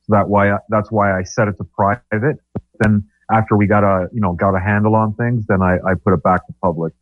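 Low-voiced man, halting, on a dull line. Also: fairly even intonation, no echo. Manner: halting, many restarts. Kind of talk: casual chat.

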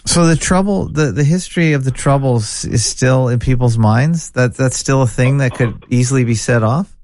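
A man's voice: mid-range voice, normal speed, darkish tone. A man hosting an interview, asking curious follow-up questions in a casual way. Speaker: interviewer, host.

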